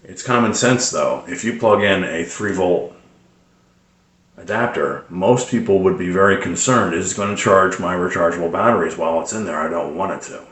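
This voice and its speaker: A man giving a casual, unscripted how-to explanation in a hesitant, rambling way with many pauses. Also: deep voice, a bit bright. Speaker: presenter of a radio tips video. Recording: roomy, echoing sound.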